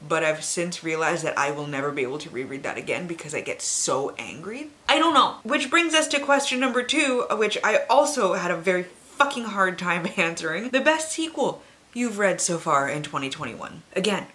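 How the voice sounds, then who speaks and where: A woman's voice: fairly low pitch, sing-song, normal speed, sharp tone. A woman hosting a casual book vlog, talking informally and emphatically with plenty of swearing. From Canada. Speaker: book reviewer, video host.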